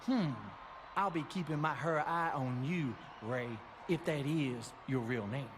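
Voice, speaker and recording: A self-important costumed superhero , acted by a man, speaks with pompous, theatrical suspicion. The voice sits fairly high for a male. It is game cutscene dialogue over clearly audible background noise. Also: a little slow, lightly acted. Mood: suspicious. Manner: pompous, mock-heroic. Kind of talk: video game cutscene acting.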